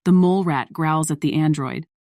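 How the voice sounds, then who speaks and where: A woman's voice: low voice, normal speed, darkish tone, no echo, studio quality. A woman reading scripted story narration in the role of narrator.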